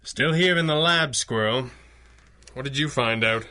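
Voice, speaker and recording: A man's voice: mid-range voice, unhurried, bright, forceful tone. A man playing a composed, gallant masked crimefighting leader in a 1930s-style radio drama, reassuring and dryly wry. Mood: assured. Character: calm, gallant masked male vigilante leader. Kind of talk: pulp radio drama acting in a 1930s manner.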